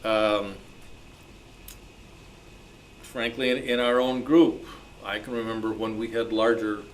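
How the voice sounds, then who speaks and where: A man moderating a club panel discussion, speaking in a halting, deliberate way without a script. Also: on the low side, little pitch movement. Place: club meeting room. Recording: noisy live meeting room recording.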